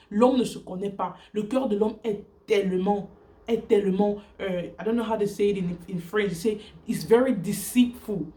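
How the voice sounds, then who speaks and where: A woman whose first language is English speaks in earnest, halting French, with many fillers and restarts, sharing her faith on a casual vlog. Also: medium pitch, dark tone, far from the mic.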